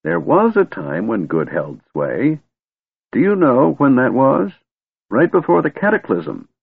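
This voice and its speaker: A man's voice: medium pitch, huge pitch swings, measured pace, gravelly, husky, no room sound, pitched above the performer's own voice, heavily acted. A man voicing a wise, eccentric old wizard who is secretly a god, testy and stern in turn. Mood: scolding. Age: older. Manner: cranky yet wistful, scolding. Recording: tinny phone-like dry recording.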